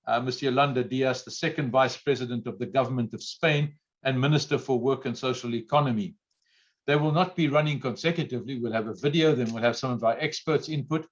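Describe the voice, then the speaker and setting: A man with a big voice serves as panel moderator, introducing things in a semi-formal manner. His pitch is average for a man, and the sound is dull and lo-fi, as on an online call.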